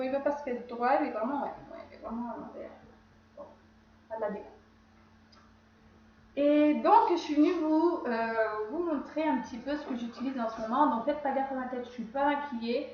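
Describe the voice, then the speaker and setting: Chatty woman with a cold, high voice, rambling, super echoey room at home. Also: slight background noise. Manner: chatty, rambling, often corrects herself. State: has a cold. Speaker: beauty vlogger. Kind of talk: casual skincare routine chat. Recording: very echoey room, slightly dull sound.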